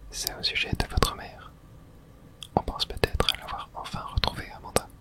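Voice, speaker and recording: A person whispering softly and gently, with no voice in it, close to the microphone, as the host of an ASMR game playthrough. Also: normal speed. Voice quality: soft breathy unvoiced whisper. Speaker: video game streamer and host.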